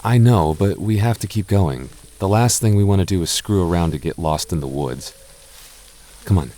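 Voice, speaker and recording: Low-voiced young man, soft and halting. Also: lively intonation. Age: young adult. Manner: soft-spoken, halting, jokey and reassuring; slow measured reading of a rhyme. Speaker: boyfriend in roleplay audio. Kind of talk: ASMR boyfriend roleplay.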